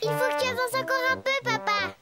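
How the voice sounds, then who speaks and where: A performer voicing a bossy, excitable little girl pig in a French children's cartoon, perky and cheeky. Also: very high voice, brisk, over loud music. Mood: excited.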